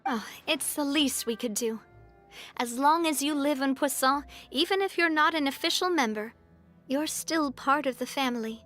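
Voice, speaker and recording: A woman voicing a confident young woman gang leader in a video game, warm and decisive. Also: sits high, normal speed, faint music behind.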